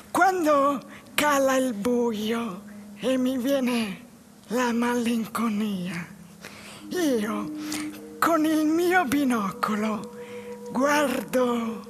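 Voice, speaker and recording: An actress playing a lonely, dreamy, jobless man in a halting theatrical monologue. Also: on the high side, slight background noise. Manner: slow, halting phrases with pauses. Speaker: stage actress. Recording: music behind the voice.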